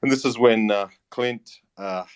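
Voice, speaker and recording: An Australian man in his late thirties giving a casual interview, halting and matey as he explains his work. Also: mid-range voice, clear, resonant tone. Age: late 30s. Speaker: interview guest, music platform founder and teacher.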